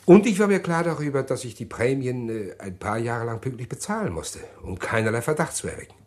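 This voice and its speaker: An actor playing a middle-aged man who calmly confesses a murder plot in an old radio play, measured and hesitant. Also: medium pitch, natural voice. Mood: calm confessing. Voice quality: measured, deliberate, hesitant with pauses. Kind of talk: 1950s radio drama acting.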